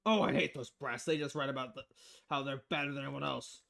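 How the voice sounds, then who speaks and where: A man's voice: mid-range voice, fairly even intonation, fast, creaky, fairly bright tone. A man voicing a crass, cynical, money-loving newspaper editor in a visual novel, brash and foul-mouthed in manner.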